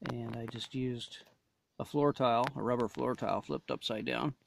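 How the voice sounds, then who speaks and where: Man, mid-pitched voice, casual product demo, hesitant short phrases, gear reviewer. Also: normal speed, vocal fry, a little hiss or hum. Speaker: gear reviewer showing a radio install.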